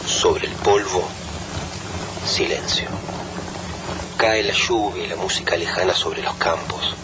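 An actor playing a mournful reciter of a war poem in a radio drama, breathy and at times half-whispering. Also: male voice, deep voice, takes it slowly, background noise.

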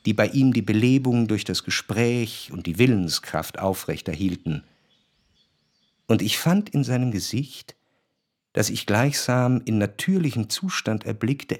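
A man playing a refined, observant first-person narrator in a radio play, reciting literary prose with measured, deliberate phrasing. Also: medium pitch, breathy edge, no echo, full character voice. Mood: reflective, intent.

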